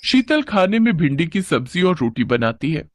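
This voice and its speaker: A man's voice: a little high, big pitch swings, moderate pace, background noise. A man narrating a scripted children's moral story.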